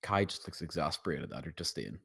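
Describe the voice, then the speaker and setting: A man's voice: a bass voice, big pitch swings, fairly quick, vocal fry, bright, forceful tone, husky. A man reading stage directions as narrator at a screenplay table read.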